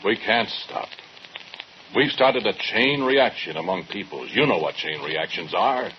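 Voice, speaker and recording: Brief: fatalistic weapons scientist for vintage radio drama. Halting, insistent, widely swinging delivery; noisy old recording. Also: male voice, lowish voice, expressive, lo-fi sound. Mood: resigned, insistent. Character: obsessed, fatalistic male scientist who builds weapons, growing paranoid. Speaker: radio actor.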